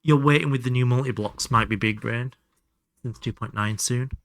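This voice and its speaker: A man's voice: mid-range voice, normal speed, a bit bright, dry recording. A man doing casual live game commentary and chatting to viewers, sweary and self-deprecating. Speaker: live streamer playing a video game.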